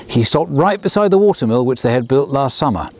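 A man in his sixties, a children's author, reading his own storybook aloud outdoors beside a small railway, lifting his voice for the characters. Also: brisk. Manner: lively storyteller, lifts pitch for characters' lines.

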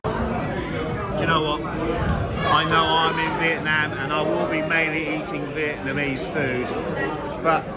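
A man's voice: on the high side, steady tone, slow, pauses often, over a noisy crowd. A man in his fifties reviewing his dinner to a handheld camera, chatty and blunt with some mild swearing. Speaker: food vlogger. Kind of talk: casual food review to camera. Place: busy restaurant indoors. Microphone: handheld camera at arm's length. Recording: noisy crowded restaurant. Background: loud diners chatting.

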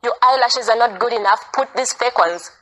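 A woman's voice: on the high side, brisk, a bit bright, poor audio. A woman giving an informal, insistent sermon, repeating phrases for emphasis. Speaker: preacher. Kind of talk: informal sermon, earnest exhortation.

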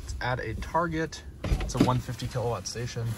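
A man's voice: mid-range voice, brisk. A young American man narrating a casual road-trip vlog in a matter-of-fact way. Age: young adult. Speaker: vlogger. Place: in a car and outdoor parking lots. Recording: noisy car and outdoor ambience.

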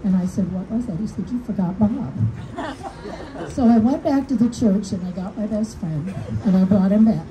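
An older woman with a deep voice giving a heartfelt dedication speech outdoors. She tells warm personal stories with gentle humor. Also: moderate pace, some chatter behind, a little hiss or hum. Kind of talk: heartfelt public speech at a podium. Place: outdoors under a canopy, small crowd. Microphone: podium mic heard through speakers, recorded from the audience. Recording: distant speaker through PA with outdoor ambience.

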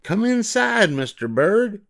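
A man voicing an excitable, eager storybook mouse who exclaims like a playful showman. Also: high voice, takes it slowly, gravelly, exaggerated voice. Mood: eager welcoming. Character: excitable, eager male cartoon mouse showing off.

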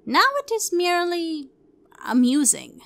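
A woman voicing a stately, blithe immortal pony princess, measured and formal, in a fan fiction audio drama. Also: extremely high pitch, big pitch swings, fairly bright tone, no room sound, full character voice. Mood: calm, philosophical.

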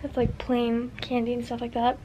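A woman's voice: a little high, monotone, normal speed, highs cut off. A teenage girl vlogging casually in her bedroom, rambling with lots of fillers while tidying up. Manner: rambling, filler-heavy, chatty. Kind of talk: casual vlog chat. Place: bedroom, indoors. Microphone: handheld camera at arm's length. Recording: noisy home recording.

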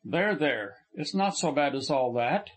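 A man reading a courteous young gentleman. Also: a little high, expressive, a little slow. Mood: reassuring. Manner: gentle, reassuring, formal. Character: courteous, measured young male engineer.

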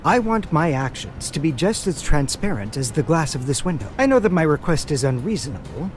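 An actor playing a calm, polite, enigmatic sorcerer who speaks formally in a dubbed anime game cutscene. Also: male voice, a little high, a little fast, faint music behind. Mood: earnest, measured.

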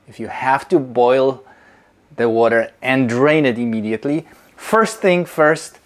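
A middle-aged man, teaching slowly and deliberately while he demonstrates in a home kitchen. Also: medium pitch. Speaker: movement teacher. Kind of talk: instructional demonstration.

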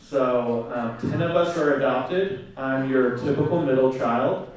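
A man's voice: mid-range voice, distant mic. A man in his twenties giving a personal testimony on stage, speaking earnestly with frequent fillers into a handheld mic. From South Korea, raised in Oklahoma. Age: 20s. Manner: earnest, many fillers and pauses. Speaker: conference speaker. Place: large hall on stage. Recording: echoey hall, recorded from afar.

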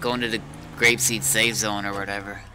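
A man's voice: fairly low pitch, little pitch movement, brisk, noisy background. A man chatting casually as a player in an online roleplay game.

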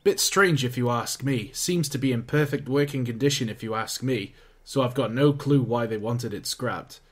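A man voicing a gruff, blunt scrapyard foreman. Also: mid-range voice, normal speed, close to an everyday voice. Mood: offhand blunt. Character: blunt working-class male scrapyard foreman.

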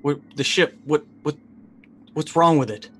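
A man's voice: a little high, a little slow, a bit bright, slight background noise. A man playing a confused, exasperated company man in a tabletop game, hesitant, stammering and dryly sarcastic, with an everyday voice. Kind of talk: tabletop game role-play.

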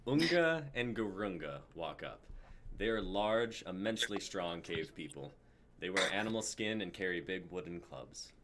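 Young guy narrating a script, low voice, noisy call audio. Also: lively intonation, normal speed. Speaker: stage-direction reader. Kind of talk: scripted narration of stage directions. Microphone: video call. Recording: clear noise on the mic.

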